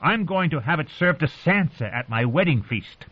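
High, whiny, spiteful boy king. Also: animated, normal speed, husky, lo-fi sound. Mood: spiteful demanding. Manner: whining, demanding, insistent. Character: petulant, cruel boy king, spiteful and spoiled.

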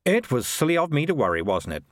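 A man's voice: a little high, fast talker, dry recording, clean studio sound, pitched above the performer's own voice. A man voicing a battered, grumpy male cat in a storybook, gloomy and dryly sarcastic. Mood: weary scorn. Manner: gloomy, sighing, deadpan sarcasm.

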